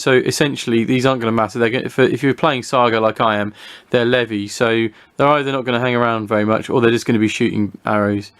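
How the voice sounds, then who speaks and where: British male hobby reviewer, mid-pitched, informal and unscripted, hesitant, thinking aloud as he demonstrates. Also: a bit bright, clear, resonant tone. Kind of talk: casual unscripted product review, talking through a demonstration.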